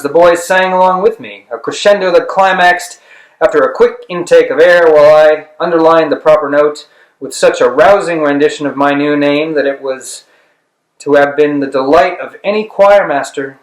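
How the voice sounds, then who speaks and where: A man with a slightly high voice narrates a literary story in a clearly roomy space. He reads expressively and deliberately, leaving dramatic pauses between phrases. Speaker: audiobook reader. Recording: roomy, echoing room sound.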